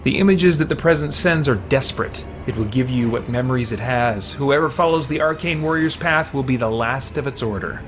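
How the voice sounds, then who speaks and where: A man live-streaming a game, reading its text aloud and chatting casually as he thinks out loud. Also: mid-range voice, brisk, hardly any pauses, music in the background. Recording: steady background noise.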